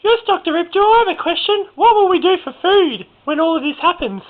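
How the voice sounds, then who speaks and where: A man voicing an earnest, eager questioner in an amateur comedy sketch, in a squeaky voice. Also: expressive, fairly quick. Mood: earnest, questioning. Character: high-pitched eager questioner worried about food and Facebook. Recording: thin phone-like lo-fi sound.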